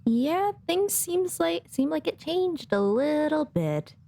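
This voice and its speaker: A woman streams a game with casual live commentary. Her voice sits slightly high and moves at a slow pace, laid-back and joking throughout. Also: very animated, creaky, mellow.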